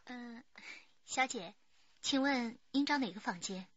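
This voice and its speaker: A woman's voice: fairly high pitch, measured pace, fairly bright tone, no room sound. A woman acting a courteous, timid hotel attendant in a radio play, speaking hesitantly. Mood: polite. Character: polite, timid female hotel floor attendant. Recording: dull, slightly noisy recording.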